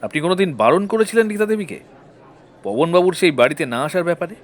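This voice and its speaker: Male actor as defence lawyer, audio courtroom drama, fast-talking, lightish pitch, polite, probing, formal. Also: lively intonation. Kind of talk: Bengali audio-story courtroom acting.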